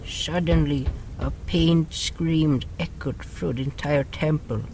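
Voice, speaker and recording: A man's voice: on the high side, fairly even intonation, takes it slowly, heavy background noise. A man playing an earnest creature telling its story in an audio drama, solemn, with broken phrasing that drops articles. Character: earnest storytelling creature, small beside its raging ally.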